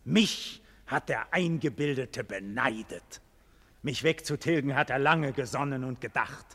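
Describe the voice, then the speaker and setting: A man's voice: medium pitch, measured pace, a bit bright. An actor playing a proud, defiant condemned nobleman, indignant and bitter, declaiming in formal theatrical style in a classic German radio play. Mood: bitter, wounded pride. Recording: long reverberant hall, radio drama production.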